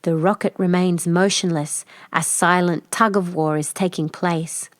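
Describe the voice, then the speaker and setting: A woman's voice: fairly low pitch, steady tone, measured pace, no room sound. Woman narrating a children's story, dry sound. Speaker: storybook reader.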